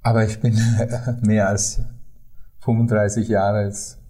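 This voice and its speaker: Male podcast guest, mature tour guide, Sri Lankan accent, non-native and halting, unhurried delivery, dark relaxed tone. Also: on the low side. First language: not German. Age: 50s or older. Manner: halting, non-native German with frequent hesitations. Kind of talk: conversational storytelling in an interview.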